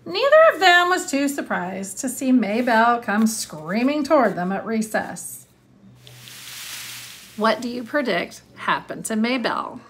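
A woman's voice: fairly high pitch, very animated, unhurried, a bit bright. A woman in her thirties, an elementary school teacher, reading aloud and explaining with questions to students, stressing key words. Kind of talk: read-along lesson with questions to students.

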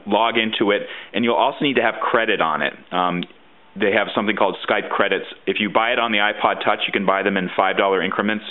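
A man presenting an informal how-to demonstration, explaining things step by step. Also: fairly low pitch. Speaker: tutorial presenter and guide author. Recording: muffled, phone-like narrow-band sound.

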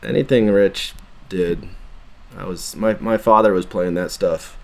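Man, fairly low voice, young adult, dry joking humor, laid-back podcast co-host. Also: steady tone, a little slow, creaky, darkish tone. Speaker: podcast co-host and bass player. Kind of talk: casual podcast chat.

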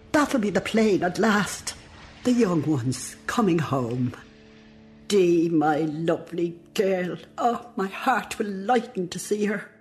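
A woman's voice: low voice, slight background noise. An actress playing a devout, fond old Irish woman in a reflective radio play monologue, with an Irish accent. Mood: fond, hopeful. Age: older.

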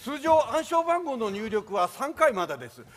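An actor playing a formal, officious judicial official, speaking politely in a theatrical stage play. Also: male voice, extremely high pitch, big pitch swings, normal speed, fairly bright tone, in a large hall, full character voice.

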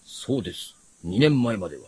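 A stern, close-miked shadow retainer. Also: male voice, fairly low pitch, big pitch swings, a little fast, a little mellow, slightly rough, no echo, low-quality recording. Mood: solemn, formal. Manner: formal, archaic, deferential yet haughty speech. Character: formal, stern adult shadow retainer of a noble house. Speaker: radio drama actor. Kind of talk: Japanese period fantasy radio drama acting.

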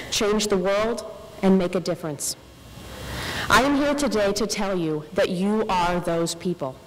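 A young woman giving an earnest, measured inspirational speech as a faculty speaker at a podium microphone. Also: lowish voice. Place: large indoor ceremony hall, on stage. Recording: reverberant hall with clear background noise.

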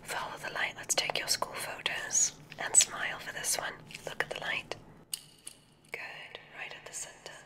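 A woman whispering gently and deliberately in an ASMR roleplay as a kindly witch examiner, giving soft instructions in a breathy voice.

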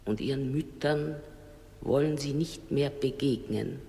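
A grieving old mother from the shadow world speaks in a radio play. She declaims solemnly and slowly, in a reverberant hall. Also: very deep voice, dark tone. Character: grieving old fishwife, a dead mother and juror among shades. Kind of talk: German radio drama stage-style acting.

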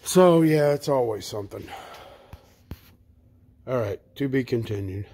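A man talks through his work in a home garage at an unhurried pace, pausing often with fillers as he goes. His voice sits in a middle pitch. He stays off-screen, speaking to a handheld camera. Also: lively intonation, warm tone, breathy edge. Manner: slow, halting, with frequent fillers. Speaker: home mechanic vlogger. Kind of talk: casual narration.

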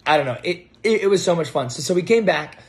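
An American man in his thirties telling a story casually to camera, animated and halting as he repeats words. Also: on the high side. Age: 30s. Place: home kitchen, indoors. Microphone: phone propped on table at arm's length.

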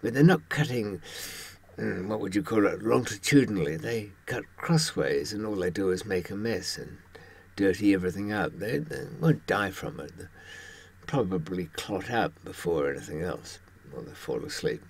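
An elderly man in his seventies with a husky, fairly low voice talks to camera in a loose, rambling way. He has a wry, darkly humorous manner and often pauses to hunt for the right word. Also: animated. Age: 70s. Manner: wry, darkly humorous, hesitant searching for words. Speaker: host recounting experiences from a criminal past.

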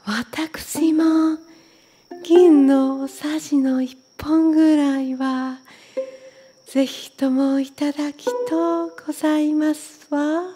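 A woman's voice: high-pitched, fairly even intonation, takes it slowly, warm tone, faint music behind, pitched above the performer's own voice. A woman voicing a genteel, refined queen with formal speech in a children's storybook. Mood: coy, polite. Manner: formal, ladylike.

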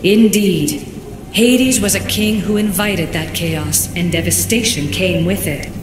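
A woman's voice: fairly low pitch, close to an everyday voice. Solemn, echoing ancient guardian goddess played by a woman. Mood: grave, foreboding. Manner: measured, formal, oracular delivery. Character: solemn ancient godlike female guardian spirit. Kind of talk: scripted video game cutscene acting. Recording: echoey hall reverb, music behind.

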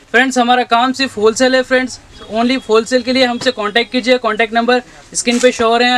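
A young man, a shop owner giving a brisk sales pitch for his goods and addressing viewers as friends again and again. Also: fairly bright tone, rough recording. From India. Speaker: shop owner showing products. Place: textile shop, indoors.

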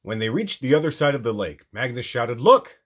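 A man reading a children's storybook aloud as an animated storytime narrator. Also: mid-range voice, fairly quick, husky. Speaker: storytime reader.

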